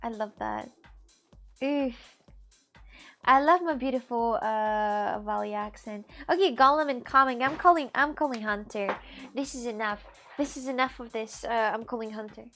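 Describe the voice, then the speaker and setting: A woman's voice: on the high side, normal speed, fairly bright tone, soft background music. A woman from Russia in her twenties, chatting casually and animatedly on a live stream, often repeating herself.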